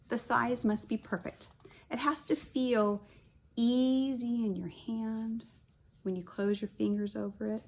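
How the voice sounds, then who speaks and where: Lady teacher reading a kids book out loud, bit raspy w/ some creak, expressive, stops to chat to the little ones. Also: fairly high pitch. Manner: deliberate, expressive storytelling with stressed words. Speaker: kindergarten teacher. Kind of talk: children's picture book read-aloud with chatty asides.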